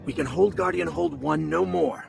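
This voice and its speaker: A grim, loyal soldier. His fairly high voice is heavily creaky and gravelly, weary but dutiful, in a video game cutscene. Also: moderate pace, soft background music. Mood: grim resolve.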